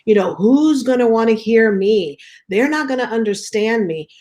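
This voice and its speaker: A woman's voice: fairly high pitch, lively intonation, moderate pace, darkish tone. Admiring woman interview host. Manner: admiring, talkative. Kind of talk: casual interview chat.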